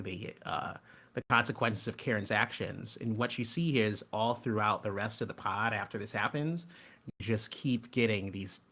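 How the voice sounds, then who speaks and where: A man hosting a casual live commentary on an audio drama, talking haltingly and often interrupting himself. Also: lowish voice, bright, forceful tone. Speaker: host and co-creator of an audio drama.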